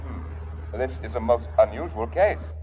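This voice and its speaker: A man's voice: mid-range voice, expressive, unhurried, mellow, close to an everyday voice. Pompous mechanical therapist , played by a man for 1950s radio sci-fi, formal and pedantic, dull noisy recording. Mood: clinical, pompous. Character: pedantic, self-assured male therapy robot from Mars.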